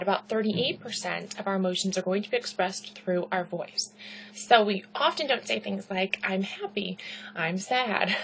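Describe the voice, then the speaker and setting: A woman teaching a recorded class, loud and pitchy in her own words, with a chatty lecturing rhythm full of "right?" and rhetorical questions. A faint hum sits under her voice. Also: rough recording. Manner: frequent "right?" and "okay" check-ins. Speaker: instructor.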